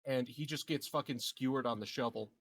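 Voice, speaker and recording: A man's voice: medium pitch, brisk, breathy edge, dry recording. Casual, brash man playing a tabletop game. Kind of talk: casual gaming chat.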